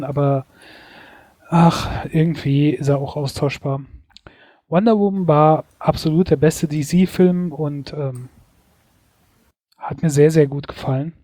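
Male podcast co-host, mellow tone, dry close sound, casual and chatty. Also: on the high side, no echo. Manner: chatty, hedging with fillers. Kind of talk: casual chat, year-in-review.

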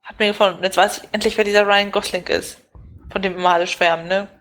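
A woman's voice: mid-range voice, steady tone, fast talker, fairly bright tone. A woman co-hosting a podcast, chatting casually in a wry, self-mocking way. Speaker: podcast co-host. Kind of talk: casual chat.

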